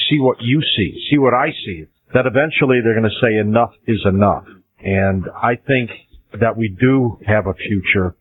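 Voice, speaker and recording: A male radio host with a mid-range voice answers a caller in a steady, reassuring way. He speaks with emphasis and conviction. The sound is narrow and lo-fi, like a phone line. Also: on the low side, slightly rough. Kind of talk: call-in radio talk.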